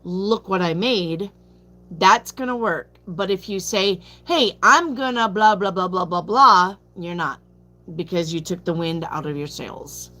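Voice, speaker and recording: A woman giving a live tarot reading, slow and chatty, drifting into asides between cards. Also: fairly low pitch, bright, forceful tone. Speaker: tarot reader. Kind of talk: live-stream card reading and chat.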